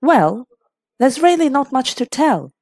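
A stubborn society hostess played by a woman narrator from a classic mystery audiobook. She protests in a flustered, plaintive way, with swooping intonation and an unhurried pace. The sound is close and dry. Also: high-pitched, big pitch swings, darkish tone, dry recording, pitched above the performer's own voice, exaggerated voice. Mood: reluctant, plaintive. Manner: indignant, obstinate protesting.

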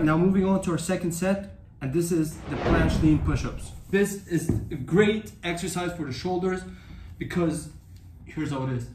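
A man's voice: on the high side, darkish tone, slight background noise. Upbeat young man coaching in echoey room. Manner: upbeat, direct coaching with frequent "okay?" and "all right?". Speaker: fitness coach. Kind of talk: casual instructional vlog. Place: tiled room at home, indoors. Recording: echoey room with faint ambience.

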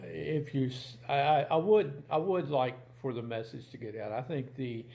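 Older man, creaky voice, halting and plain-spoken. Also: mid-range voice, dark tone. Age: 60s. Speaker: video channel host. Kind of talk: casual talk to camera. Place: indoors in a home room.

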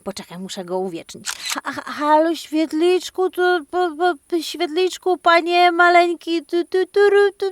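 Female children's podcast host: animated, exclamatory, playful, unhurried delivery, dry recording. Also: very high-pitched, no room sound. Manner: animated, exclamatory, addresses children and dog directly. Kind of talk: playful interactive storytelling, chatting to her dog.

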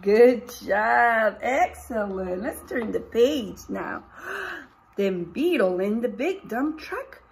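A woman leads an interactive story time for little children as their teacher. She speaks slowly, with big swoops of pitch and exaggerated delivery. She often puts questions to her listeners and waits for replies. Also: creaky. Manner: animated, exaggerated, asking questions and pausing for answers. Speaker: preschool teacher. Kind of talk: interactive read-aloud for young children. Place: small indoor room with picture books behind.

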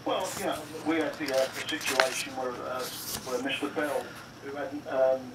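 A man's voice: mid-range voice, fairly even intonation, normal speed. A man calling a radio phone-in, telling indignant anecdotes in a wordy way, acting out both sides of conversations. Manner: wordy, retells exchanges as reported dialogue. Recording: roomy radio playback, distant, noisy.

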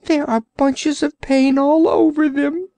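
A woman's voice: sits high, flat delivery, measured pace, warm tone, no room sound, voice pushed up. A woman voicing a whiny, complaining male orc creature in a storybook reading, groaning and self-pitying.

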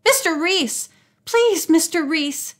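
A woman's voice: fairly high pitch, normal speed. A woman voicing a level-headed young girl detective in a storybook, speaking firmly and politely. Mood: urgent. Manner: firm, calm, polite.

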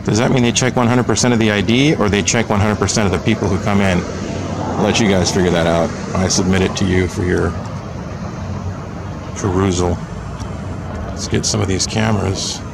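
A man with an American accent talks in a low voice with plenty of gravelly fry. He rambles casually through a running commentary, dropping dry joking asides. It is recorded outdoors on a handheld camera, with clearly audible street and vehicle noise behind him. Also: fairly even intonation, a little fast, pauses often. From Texas, United States. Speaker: video blogger filming a public-space audit. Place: outdoors on a public sidewalk by a road. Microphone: handheld camera microphone, operator behind camera. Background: passing vehicles and outdoor ambience.

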